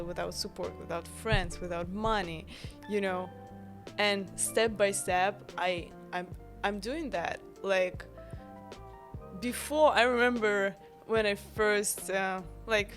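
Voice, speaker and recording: Chatty Ukrainian-accented young woman. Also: medium pitch. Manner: chatty, many fillers, non-native grammar. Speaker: guest, bakery founder. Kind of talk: casual interview chat.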